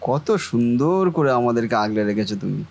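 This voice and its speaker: A man's voice: medium pitch, brisk, vocal fry, a little mellow, lo-fi sound. A man voicing a devoted, affectionate younger brother, a young shopkeeper in a family cartoon. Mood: tender, grateful. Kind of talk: Bengali family cartoon voice acting.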